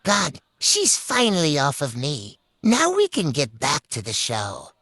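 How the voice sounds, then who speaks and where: Low-voiced, very animated woman hosting. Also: measured pace, husky, dry recording, studio quality. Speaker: puppet show host. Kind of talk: playful improvised show hosting.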